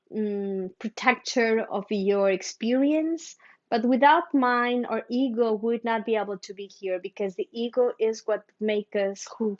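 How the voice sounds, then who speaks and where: Woman, slightly muffled recording. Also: mid-range voice. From Mexico. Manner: halting, thoughtful, with humming pauses. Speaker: spiritual teacher. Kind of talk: spiritual teaching talk.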